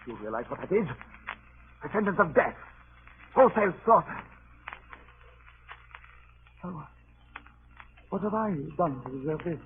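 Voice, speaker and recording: A man's voice: fairly high pitch, lively intonation, unhurried, pauses often, slightly rough, phone-quality sound, full character voice. A man playing an earnest, harried sea captain in a 1930s radio drama, theatrical and imploring, on a scratchy old recording. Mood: desperate, indignant. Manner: stammering, imploring, theatrically anguished.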